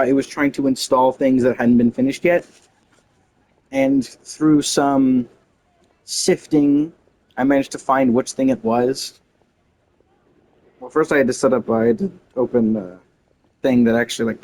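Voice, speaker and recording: A man's voice: medium pitch, darkish tone, a little hiss or hum, low-quality recording. A man chatting casually on a game livestream, rambling and cutting himself off with mildly exasperated, slangy grumbling. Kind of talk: casual rambling stream chat.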